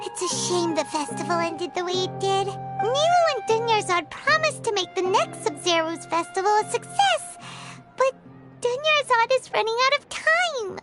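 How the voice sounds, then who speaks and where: A woman voicing a small, excitable childlike girl companion in a video game, squeaky and emotional, with earnest loyalty. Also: moderate pace, loud music behind the voice. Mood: dejected. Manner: exclamatory, emotional.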